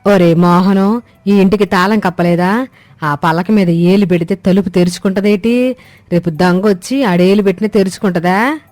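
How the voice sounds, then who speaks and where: Woman playing a sharp-tongued old mother-in-law. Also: mid-range voice, normal speed, dry recording, lightly acted. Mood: bewildered. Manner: scolding, rustic, blunt. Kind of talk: scripted comic family story acting. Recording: dry studio, music behind.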